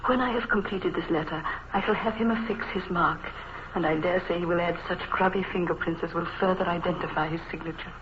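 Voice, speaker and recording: An actress playing a devoted English gentlewoman reading her letter with refined affection in a 1950s radio drama. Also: lowish voice, a little fast, close to an everyday voice. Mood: tender. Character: devoted, fondly amused English gentlewoman wife. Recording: muffled, hissy old radio recording.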